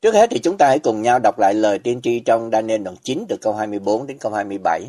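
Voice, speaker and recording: A man preaching a scripted sermon as a voice-over, reading in a solemn, measured way with emphatic pauses. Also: lowish voice, lively intonation, dark tone.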